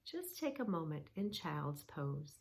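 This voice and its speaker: A gentle woman reading a picture book to children and guiding them through yoga poses. She speaks slowly in a low, soothing voice. Her instructions are calm and clear. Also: slight background noise. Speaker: kids yoga teacher and reader.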